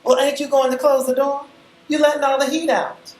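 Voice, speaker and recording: A woman voicing a brash, sassy older woman visitor who talks fast and loud in teasing slang. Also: high-pitched, level tone, voice pushed up, lightly acted. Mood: scolding. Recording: echoey room, faint ambience.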